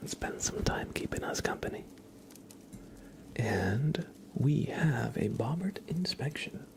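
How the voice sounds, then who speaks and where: A man's voice: mid-range voice, expressive, slow. A man hosting a live ASMR stream, chatting to viewers with playful, murmured role-play patter. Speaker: livestream host.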